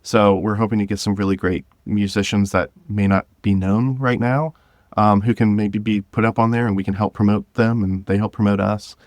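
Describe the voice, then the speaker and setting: Low-voiced man, earnest podcast guest. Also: level tone, moderate pace, darkish tone, no room sound. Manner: earnest, encouraging. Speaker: podcast guest, audio drama creator. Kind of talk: casual interview conversation.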